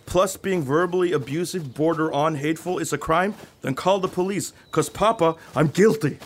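A fairly high-voiced, self-righteous male rocker, acted by a man, touchy and melodramatic. It is a radio comedy reading with clear background noise. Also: normal speed, natural voice. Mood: indignant, defiant.

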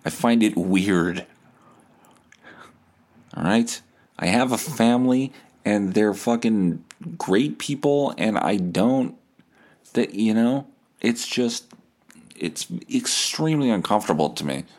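A man in his thirties with a fairly low voice hosts a podcast. He tells a comic story in a casual, sweary way, acting out bits of dialogue as he goes. He comes from Winnipeg, Canada. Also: slow, pauses often. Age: 30s. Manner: casual, sweary, animated when quoting dialogue. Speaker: podcast host. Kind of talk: comedic storytelling, reading out a message exchange.

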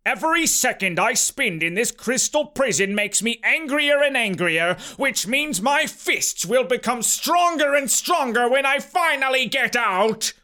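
A man voicing an angry, bullying uncle in a storybook, shouting threats in exasperation. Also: very high-pitched, big pitch swings, very slow, hardly any pauses, pitched above the performer's own voice, exaggerated voice. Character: angry, bullying uncle trapped in a crystal prison.

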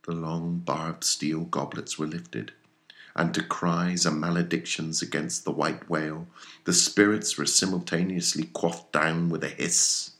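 Very deep, gravelly male audiobook narrator. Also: level tone, unhurried, a bit bright. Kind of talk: dramatic literary narration.

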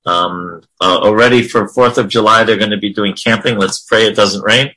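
A man's voice: fairly low pitch, steady tone, moderate pace, bright, forceful tone, low-quality recording. A man leading an informal religious class in an encouraging, affirming way. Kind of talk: informal spiritual class discussion.

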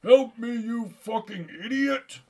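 Man playing an urgent captive Santa, raised pitch. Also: sing-song, slow, a bit bright, exaggerated voice. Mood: desperate pleading. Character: captive immortal Santa, urgent, grimly resigned.

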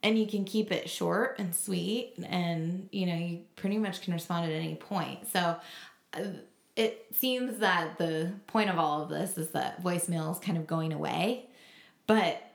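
Female podcast co-host, lowish creaky voice, casual chat, echoey room sound. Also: measured pace. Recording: roomy, echoing sound.

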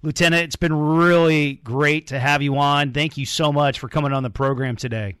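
A man's voice: a little high, fairly even intonation, moderate pace, fairly bright tone, clean tone, no room sound. Male host, dry close sound. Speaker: podcast host. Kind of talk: interview hosting.

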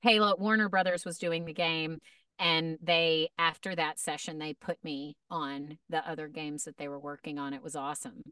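Casual podcast chat from a woman, bright and clear, lots of starts and stops, dry sound a bit off the mic. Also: low voice, moderate pace, dry recording, far from the mic. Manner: animated, many restarts and fillers. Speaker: voice actor guest.

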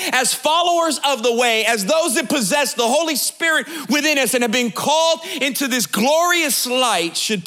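High, bright-voiced male preacher. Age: 30s. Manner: conversational preaching with jokes and direct address; rhetorical repetition, sometimes sing-song emphasis. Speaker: pastor. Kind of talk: sermon.

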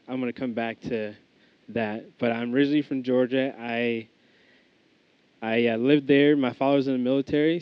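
A young man preaches in a loose, conversational way, often restarting his sentences and padding them with fillers. His voice sits in an ordinary middle pitch. The recording is slightly muffled and dry, with little room sound. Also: no echo. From United States. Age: young adult. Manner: casual, rambling, many fillers and restarts. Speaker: student preacher. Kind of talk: informal sermon with prayer and testimony.

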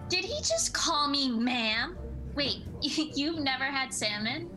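A woman's voice: high voice, very animated, drawn-out words, music in the background, heavily acted. An actress playing a polite, flustered young woman on a dinner date in a comedy radio play, giggly and hesitant. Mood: puzzled.

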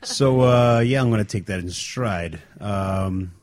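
A man's voice: fairly low pitch, unhurried, a crowd in the background. A man co-hosting a radio show, telling stories casually in an easygoing, dry manner. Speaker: radio co-host. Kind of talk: casual talk show storytelling.

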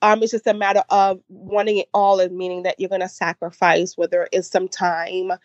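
A woman's voice: on the low side, little pitch movement, moderate pace, a little mellow, dry recording. Haitian-accented woman in her forties, dull dry sound. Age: 40s. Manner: reflective, with many restarts and laughs. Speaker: podcast guest. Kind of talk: casual interview chat.

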